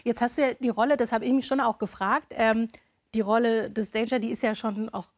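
A woman's voice: on the high side, fairly even intonation, brisk. A woman in her thirties hosting a TV talk show, interviewing in a casual, conversational way. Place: TV studio talk-show set. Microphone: clip-on lapel mic.

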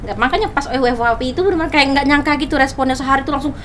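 A woman, chatting casually as a podcast guest and telling things in an animated, expressive way. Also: high voice, racing, slight background noise. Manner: animated storytelling with sound words and acted quotes. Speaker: content creator, interview guest. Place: small podcast studio, indoors. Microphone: boom-arm studio microphone, headphones on.

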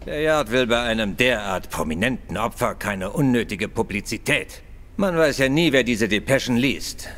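Male actor as police inspector, grudging, formal, self-assured, lively intonation, audio drama. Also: mid-range voice, normal speed, close to an everyday voice. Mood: grudging, matter-of-fact. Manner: officious, brusque. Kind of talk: German audio drama acting.

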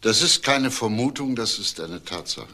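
An actor playing a probing, unhurried police detective in a crime radio drama. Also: male voice, mid-range voice, animated, bright, forceful tone. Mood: insistent. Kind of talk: naturalistic crime drama dialogue.

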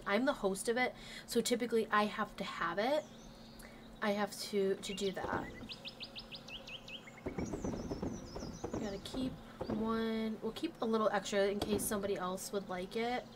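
A woman's voice: medium pitch, a little hiss or hum. Slightly nasal woman with a cold. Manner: chatty, thinks aloud, talks to game characters. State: recovering from a cold. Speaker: gaming channel host. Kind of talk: casual let's play commentary. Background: game music.

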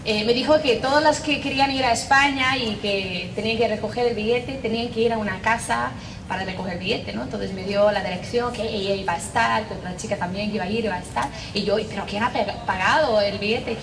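A young woman from Northern Ireland with a Northern Irish accent, speaking Spanish as a second language, telling her story lively to a crowd outdoors, acting out her own lines. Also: mid-range voice, quick pace. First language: English. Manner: animated storyteller, acts out her own lines, laughs often. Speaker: religious sister giving testimony. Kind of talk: lively personal testimony to a crowd. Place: outdoors under trees, among a crowd. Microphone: handheld mic held by another. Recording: distant camera mic with crowd and outdoor noise.

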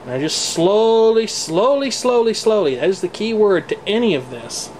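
An American man with a fairly high-pitched voice talks through a hands-on demonstration. His delivery is casual, explanatory and a little wry. It is recorded indoors on a handheld camcorder, with a faint steady noise behind him. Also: moderate pace, rough recording. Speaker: presenter demonstrating tape deck maintenance. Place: indoor room at home. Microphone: handheld camcorder microphone.

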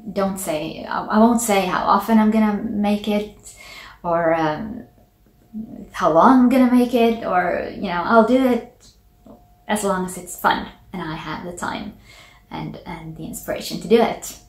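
A woman in her forties from Finland with a Finland-Swedish accent and Swedish as her first language, hosting a knitting podcast as a relaxed, thoughtful chat to the camera from her home office, with frequent ums and pauses. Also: medium pitch. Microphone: camera at desk distance. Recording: echoey small room.

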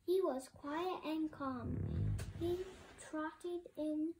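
Young girl reading haltingly, very slow, high voice. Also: background noise. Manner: halting, sounding out and restarting words. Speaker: reader of a children's storybook. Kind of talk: halting read-aloud of a picture book.

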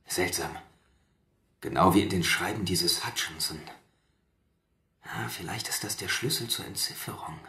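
An actor playing a secretive young scholar in a radio drama, reverently obsessed with his research, with a halting, hushed way of reading aloud. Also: deep voice. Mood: hushed wonder. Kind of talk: German radio drama acting. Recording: roomy echo, faint noise, clicks.

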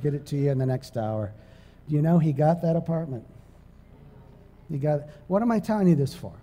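A middle-aged man preaching from a church stage, telling a personal story in a conversational, animated way that rises to emphatic preaching. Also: high voice. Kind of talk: storytelling sermon. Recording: long church hall reverb.

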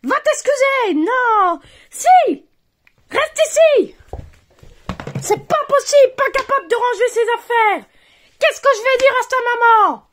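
A woman's voice: high-pitched, normal speed, no room sound, lightly acted. A woman doing a flustered scolding mother. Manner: exasperated, scolding, then politely apologetic on the phone. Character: flustered mother looking after children.

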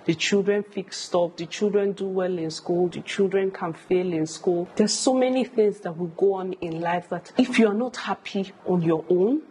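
A woman's voice: lowish voice, moderate pace, few pauses, mellow, slightly rough, poor audio. A woman in her thirties with a Nigerian accent, a vlogger giving candid, emphatic advice and repeating phrases for stress. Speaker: vlogger answering viewer questions. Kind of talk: candid advice talk to camera. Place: furnished home room, indoors.

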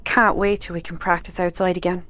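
A woman's voice: fairly low pitch, flat delivery, brisk, a bit bright, lightly acted. An Irish woman playing a casual, down-to-earth rural woman in an amateur radio drama. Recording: slightly dull, lo-fi sound.

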